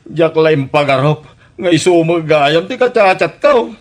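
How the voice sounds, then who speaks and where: A man's voice: fairly high pitch, expressive, unhurried. Man acting a sheepish, whiny Ilocano womanizer. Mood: dejected, regretful. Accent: Filipino, Ilocano. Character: sheepish, pleading womanizer dumped by his girlfriend. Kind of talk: radio comedy drama acting.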